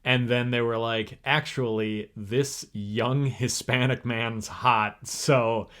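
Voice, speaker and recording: A man's voice: mid-range voice, steady tone, takes it slowly, a bit bright. Rambling young man co-hosting a chat. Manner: rambling and loose, with frequent "like" and restarts. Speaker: podcast co-host. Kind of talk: casual chat.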